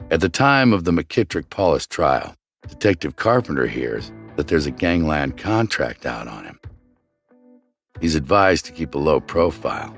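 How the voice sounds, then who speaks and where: A man with a low, deep voice narrates a true crime story from a script. His delivery is measured and dramatic, like a documentary storyteller, with music playing under the voice. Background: background music.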